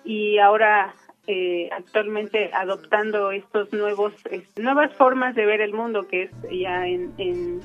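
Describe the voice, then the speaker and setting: A woman from Michoacán, Mexico, whose first language is Purépecha, giving a reflective personal account in a thoughtful, unhurried way with frequent pauses. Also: mid-range voice, fairly even intonation, lo-fi sound, soft background music. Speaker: interviewee.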